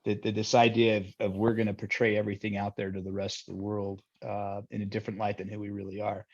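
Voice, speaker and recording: A middle-aged man with a husky, breathy voice talks candidly and jokingly as a podcast guest. His sound is dull and lo-fi, as if it came through a weaker mic. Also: on the low side, level tone, fast talker. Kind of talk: casual interview talk.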